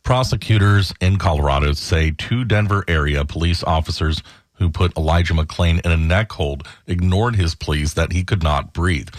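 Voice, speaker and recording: Deep-voiced male radio news anchor. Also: no room sound, clean studio sound. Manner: steady, measured newsreader delivery. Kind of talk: scripted news reading. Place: radio studio.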